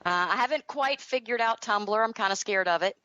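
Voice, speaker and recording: A woman's voice: medium pitch, brisk, sharp tone, no room sound, highs cut off. A chatty woman, talking casually as a guest in an interview. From Southern United States. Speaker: podcast guest, author and audio drama creator.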